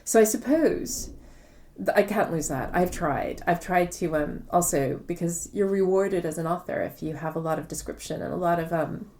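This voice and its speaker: Female author in interview; low-ish, very soft-edged mellow voice, articulate step-by-step explainer. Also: normal speed.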